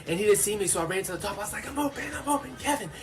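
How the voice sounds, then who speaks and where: An actor voicing an excitable schoolkid in scripted ad dialogue. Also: mid-range voice, very fast, over music, lightly acted. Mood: breathless suspense. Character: excitable schoolkid recounting a gym game.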